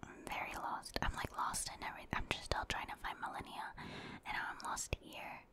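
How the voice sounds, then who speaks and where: Whispering woman, ASMR gamer. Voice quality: soft, breathy, unvoiced whisper. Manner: unhurried, musing aloud, addresses viewers casually. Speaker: game streamer, ASMR creator. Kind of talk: whispered ASMR gameplay commentary.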